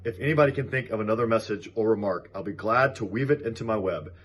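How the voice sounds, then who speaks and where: A man voicing a bossy, clever female spider in a storybook, brisk and articulate. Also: fairly low pitch, slight background noise, natural voice. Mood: businesslike. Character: bossy, dry, clever female spider leading barn animals.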